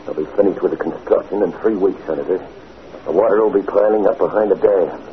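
A man's voice: sits low, fast talker, lightly acted. An actor playing a sly, menacing henchman with a knowing, insinuating manner in a 1940s radio drama. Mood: sinister. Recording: noisy, tinny 1940s radio recording.

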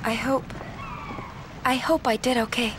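A woman playing a gentle, timid young summoner in a video game, speaking softly and hesitantly. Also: a little high, fairly even intonation, measured pace, background noise, close to an everyday voice. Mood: anxious, modest. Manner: soft, hesitant. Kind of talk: video game cutscene acting.

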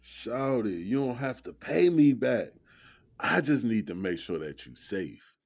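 Casting: male actor as slangy young boyfriend, protective and assertive, mellow tone, audio drama. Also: mid-range voice, sing-song, unhurried, gravelly, heavily acted. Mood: reassuring, urgent. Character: protective, street-smart young man dating the heroine.

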